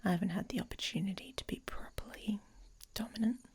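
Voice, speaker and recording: A woman playing a dominant, teasing female werewolf in an intimate ASMR audio roleplay, with a breathy, whispery voice and a commanding manner. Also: medium pitch, fast talker, darkish tone. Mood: seductive, commanding.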